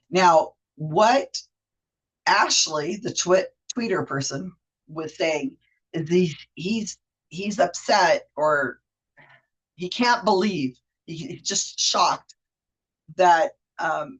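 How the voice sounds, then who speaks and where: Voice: mid-range voice, slightly rough, low-quality recording. A Wikipedia editor with a cold giving a chatty, rambling walkthrough of pages shared on screen, often correcting themselves mid-sentence. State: has a cold. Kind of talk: casual screen-share explainer.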